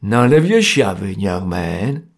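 Man doing a gruff ringleader. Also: medium pitch, sing-song, measured pace, darkish tone, heavily acted. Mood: severe. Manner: gruff, severe, matter-of-fact. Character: grim stern male ringleader, working-class.

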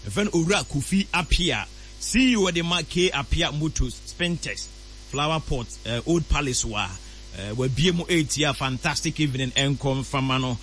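A man presenting on radio, reeling off long lists of names in shout-outs. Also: a little high, normal speed, slight background noise.

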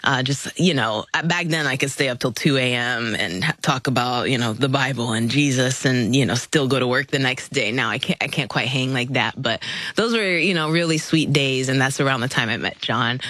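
A woman's voice: rumbling low, moderate pace, fairly bright tone, no room sound. A woman in her thirties, born in the Philippines and raised in Orlando, telling her story in a chatty way as an interview guest. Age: 30s.

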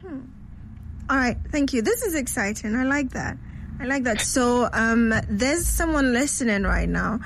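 Chatty high-voiced woman host. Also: expressive, a little slow, a little hiss or hum, poor audio. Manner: chatty, laughs easily, restarts questions. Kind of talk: casual interview over a video call. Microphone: video call.

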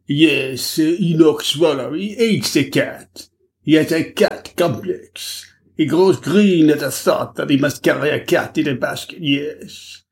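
A man's voice: fairly high pitch, a little slow. A man reading an audiobook voices a shrewd detective who questions insistently. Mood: probing.

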